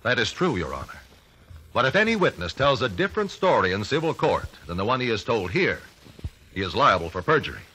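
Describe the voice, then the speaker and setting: A man's voice: medium pitch, pushed and bright, a little hiss or hum, rough recording. An American man playing a righteous, formal attorney in a 1950s radio courtroom drama. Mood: measured, confident. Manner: formal, declamatory. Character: forceful, righteous male attorney confronting a culprit in court.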